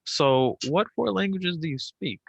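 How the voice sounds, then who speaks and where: A man hosting a casual interview, easygoing and quick to sound impressed, using casual slang. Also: on the high side, lively intonation, vocal fry, highs cut off. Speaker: host.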